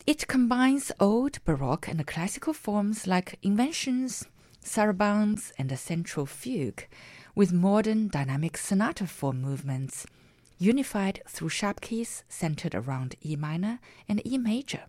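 A woman's voice: mid-range voice, lively intonation, unhurried, a little mellow, no room sound. Woman radio host, dry studio sound. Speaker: radio presenter. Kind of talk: radio hosting and announcing.

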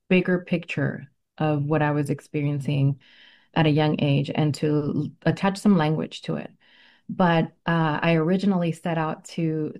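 Middle-aged female educator, native Spanish speaker, low relaxed voice, earnest and articulate in interview. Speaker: ethnic studies educator, interview guest. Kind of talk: reflective interview answers.

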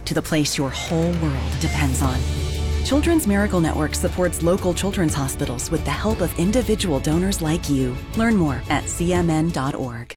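A woman reads a scripted charity ad in a gentle, earnest voice-over. Her voice sits low. Music plays beneath her. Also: expressive, normal speed. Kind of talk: scripted ad read.

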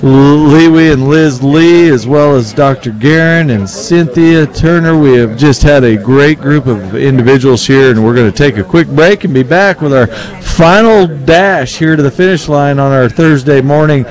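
Folksy male host, halting delivery. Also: fairly high pitch, a little mellow, rough recording. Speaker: radio show host and interviewer. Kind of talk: casual live broadcast interview.

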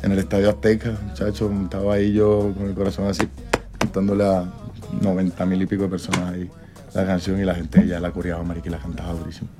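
Slangy young Colombian man, low voice. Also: completely flat delivery, fast, loud music behind the voice. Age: 20s. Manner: slangy, rambling, full of fillers and restarts. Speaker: singer being interviewed. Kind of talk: casual interview conversation.

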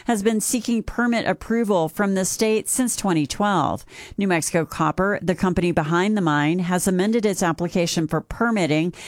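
A woman reporter reading a scripted news report in a clear, even way. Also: on the low side, dry recording, studio quality.

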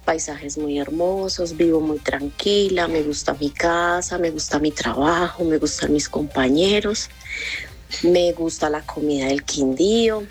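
A woman with a low voice and a Colombian accent speaks casually to a radio show. She talks in repeated, list-like phrases over background music. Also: a little slow. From Tolima, Colombia. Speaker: listener leaving a voice message.

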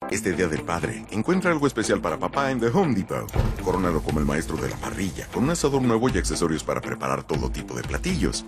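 A man reading a scripted retail commercial in an upbeat selling tone. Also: low voice. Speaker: commercial announcer. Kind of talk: scripted ad read.

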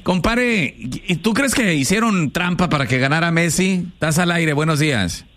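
A man's voice: fairly high pitch, expressive, fairly bright tone. A man hosting a call-in radio show, joking and tossing out sayings. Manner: jokey, quick with sayings.

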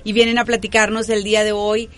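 A woman hosting a radio interview during a radiothon, speaking courteously and slowing down to stress key points. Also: medium pitch, monotone. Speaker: radio host. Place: radio studio, streamed live on video.

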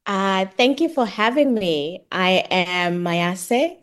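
A woman's voice: mid-range voice, animated, slow. A middle-aged woman from Zambia answering interview questions as a guest, explaining things reflectively in a conversational, searching way. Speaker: podcast guest, tech project manager and author.

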